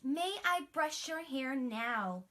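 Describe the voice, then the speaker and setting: A woman's voice: high voice, lively intonation, takes it slowly, sharp tone, exaggerated voice. A woman voicing a patient queen and mother in a bedtime story, pleading in a coaxing, motherly way.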